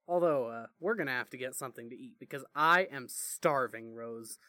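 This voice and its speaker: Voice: mid-range voice, moderate pace, pushed and bright, lightly acted. A narrator voicing a joking, slangy young brother who rambles and teases melodramatically in an audio story. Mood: casual, whiny.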